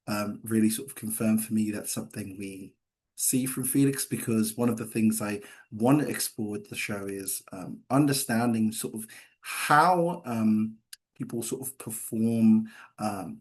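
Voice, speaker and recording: Man giving halting, thoughtful answers. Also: fairly low pitch. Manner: halting, hedging with many repeats. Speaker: screenwriter answering questions. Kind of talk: post-reading Q&A discussion.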